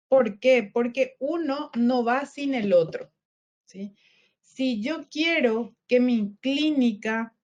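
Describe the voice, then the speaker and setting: A middle-aged woman, a dentist turned health business consultant, presenting a webinar in a slow, measured way and often checking in with the audience. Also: a little high. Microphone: online webinar, computer mic.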